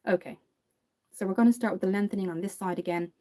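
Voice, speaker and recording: Chatty Dublin woman with a mellow voice. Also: mid-range voice, fairly even intonation, fast talker. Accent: Dublin Irish. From Ireland. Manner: chatty, reads and answers viewer comments. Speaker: makeup livestreamer. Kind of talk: casual livestream makeup tutorial.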